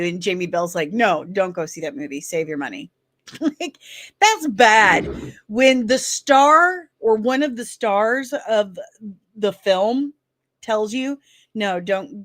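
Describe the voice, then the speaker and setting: A woman's voice: mid-range voice, no room sound. A woman from St. Louis with a Midwestern accent, hosting a casual pop-culture commentary show, laughing and sarcastic as she reacts to clips. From St. Louis, Missouri. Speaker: host of a daily pop-culture news show.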